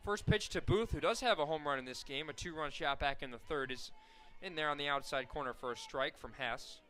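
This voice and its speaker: A young man calling softball play-by-play on the radio, steady and full of stats, rising sharply on big plays. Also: on the high side, brisk. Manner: steady, fact-filled call that rises sharply on big plays. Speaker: play-by-play announcer.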